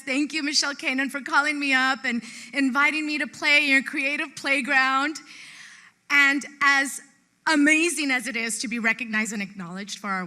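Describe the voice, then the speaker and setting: Female award winner, high pitch, very bright and forceful, heartfelt emphatic speech. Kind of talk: acceptance speech.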